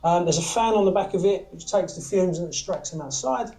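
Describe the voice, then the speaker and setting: A man's voice: sits high, brisk, mellow. A British man giving a casual hands-on workshop demonstration, informal and often checking in with the viewer as he explains each step. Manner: informal, often checks in with "all right?" and "okay?". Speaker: antenna maker demonstrating his workshop process. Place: small workshop, indoors.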